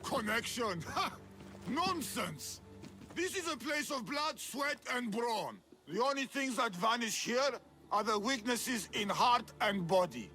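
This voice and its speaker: A man's voice: very high voice, lively intonation, slow, bright, forceful tone, husky, faint music behind, full character voice. A man voicing a boastful, haughty, hot-tempered Olympic trainer in a video game, theatrical and scoffing. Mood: scornful. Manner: pompous, scoffing, declamatory.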